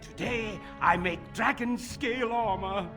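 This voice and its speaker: A man's voice: sits high, a little slow, over music. A man playing a fussy perfectionist master armorer in a video game, dramatic, self-important and given to exclaiming. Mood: excited, proud.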